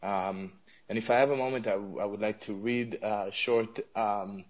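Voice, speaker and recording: A man's voice: on the low side, fairly even intonation, moderate pace, dull audio. A young man whose first language is Arabic, answering interview questions in English with many fillers and restarts. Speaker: interview guest.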